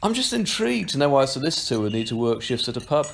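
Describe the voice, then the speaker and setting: A man's voice: medium pitch, sing-song, fast talker, creaky, slight background noise. A man acting a carefree, joking pub regular in an amateur audio drama, talking in a casual, slightly hesitant, teasing way. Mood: teasing, nosy.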